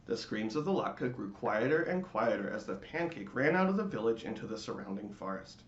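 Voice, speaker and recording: A man giving a dramatic, theatrical reading of a children's storybook in measured phrases. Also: on the low side, sing-song, echoey room, highs cut off.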